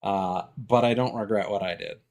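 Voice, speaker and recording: A man live streaming with casual, opinionated commentary, rambling along in a halting, stop-and-start way. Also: on the low side, vocal fry, a little mellow.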